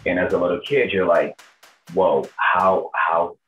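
A man's voice: deep voice, steady tone, a little slow, fairly bright tone, a little hiss or hum, low-quality recording. A man in his thirties from Brazil with a Brazilian accent, giving informal interview answers as a performer. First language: Portuguese. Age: 30s. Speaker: tribute show performer, interviewee.

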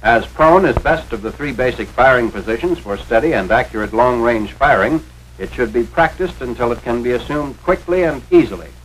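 A man with an American accent reads scripted instructions as the narrator of a 1940s training film. His medium-pitched voice is authoritative and carefully enunciated. The old film soundtrack carries a faint layer of noise. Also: poor audio.

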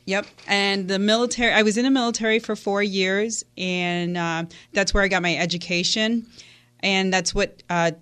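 A woman's voice: medium pitch, steady tone, moderate pace, a bit bright, clear, resonant tone. A woman from Houston, Texas, a guest on a radio interview, telling her story in a chatty way with many fillers. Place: radio studio.

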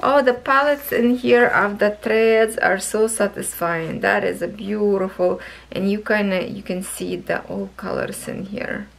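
Woman w/ reviewing craft kits, slow and soft, voice a bit hoarse. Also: mid-range voice, rough recording. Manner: chatty, thinking aloud, soft and slow. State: voice going hoarse, coughing. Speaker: craft channel host reviewing cross stitch kits. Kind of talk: casual product review vlog. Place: indoors at a wooden table. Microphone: camera over a table, hands only in frame.

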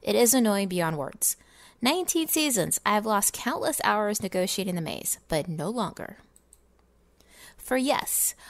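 A miserly, self-satisfied businessman, acted out by a woman tells his holiday tale from a fantasy storybook. The delivery is theatrical and pompous, full of scorn, in a medium-pitched voice with a dry, close sound. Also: gravelly, no room sound. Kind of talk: dramatic reading of fantasy game lore.

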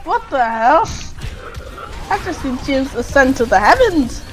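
Excitable gamer girl chatting w friends, really high voice, swings all over, music behind. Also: measured pace, rough recording. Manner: excitable, exclamatory. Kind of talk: casual gaming chat with friends. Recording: music mixed over voice chat.

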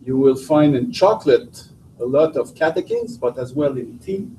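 A middle-aged French-speaking man from Quebec gives a university seminar talk in accented English, often pausing and restarting his phrases. His voice is mellow and sits at an ordinary pitch. It is heard from some distance in an echoey lecture room. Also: expressive, slight background noise. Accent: Québécois French. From Quebec, Canada. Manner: halting, many restarts and fillers. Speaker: guest lecturer, professor. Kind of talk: academic seminar talk with slides. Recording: distant, roomy lecture hall audio.

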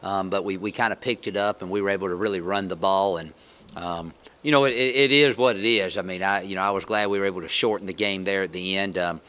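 A middle-aged man takes questions as a head football coach after a game, seated at a press table with a microphone in front of him. His voice sits fairly low but carries a bright, forward edge. He talks in a halting, matter-of-fact way, full of ums and restarts. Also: steady tone. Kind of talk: post-game press conference. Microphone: table mic.

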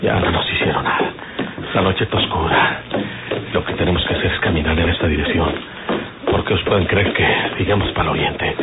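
An actor playing a wary, cunning bandit with a rural northern Mexican accent in an old radio drama, giving terse, urgent instructions. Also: male voice, sits low, fairly quick, natural voice. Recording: tinny old radio recording with loud music.

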